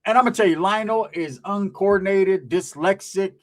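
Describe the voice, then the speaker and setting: A man's voice: sits high, normal speed. A man telling a rambling, profane comic story about family, tagging his lines with "right?".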